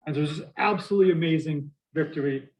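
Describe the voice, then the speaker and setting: A middle-aged man with a Boston accent giving a university math lecture, lively and full of asides and questions to the class. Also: a little high, racing, rough recording. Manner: energetic, prone to asides and questions to students. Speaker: math professor.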